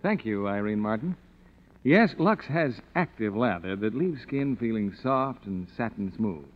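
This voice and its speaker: A male announcer sells in vintage radio commercial style, his mid-range voice swinging widely in pitch. The sound is tinny and narrow, with hall reverb. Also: expressive, unhurried. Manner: smooth salesmanlike pitch. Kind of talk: 1950s radio soap advertisement.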